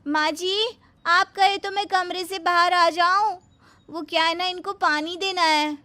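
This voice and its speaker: A woman's voice: fairly even intonation, a little slow, sharp tone, no echo, heavily acted. A woman voicing an eager, overly obedient young daughter-in-law who talks sweetly and takes everything literally. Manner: sweet, literal-minded, high and singsong.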